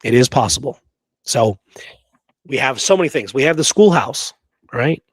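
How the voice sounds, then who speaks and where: A man's voice: medium pitch, lively intonation, moderate pace, husky, no room sound. A man co-hosting a casual history discussion, talking emphatically and often checking in with "right?". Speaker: podcast co-host.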